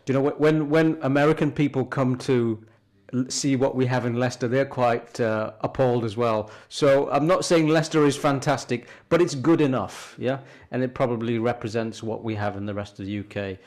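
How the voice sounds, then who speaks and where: A middle-aged man, a hospital consultant, explaining with measured good humour in a radio interview. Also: medium pitch, fairly even intonation, a little mellow. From born in London, grew up in North India. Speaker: hospital consultant doctor, guest.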